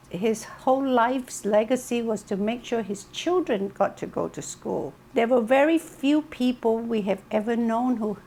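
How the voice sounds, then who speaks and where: Middle-aged woman, Chinese first language, raised in Malaysia; slow, reflective wellness talk over a video call, with faint background hiss. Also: on the high side, creaky. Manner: reflective storytelling, lively emphasis on key words. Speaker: wellness speaker.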